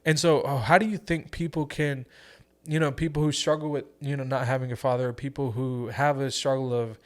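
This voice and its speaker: A man's voice: medium pitch, fairly even intonation, a little fast. Young male podcast host, casual faith talk, earnest, encouraging, friendly, easygoing delivery. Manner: earnest, encouraging, says "bro" and "y'all".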